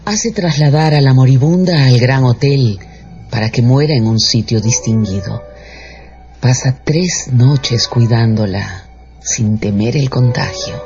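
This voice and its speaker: A man's voice: medium pitch, a little slow, a little mellow. A man narrating a radio drama as a scripted, dramatic storyteller. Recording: dull radio production with music bed.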